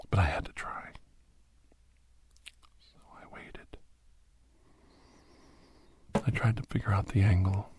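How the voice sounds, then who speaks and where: A man playing a captive doctor who grimly recounts his escape, half-whispered in a breathy ASMR horror narration with halting, deliberate phrases. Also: deep voice, darkish tone, exaggerated voice. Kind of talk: first-person scripted horror narration, ASMR.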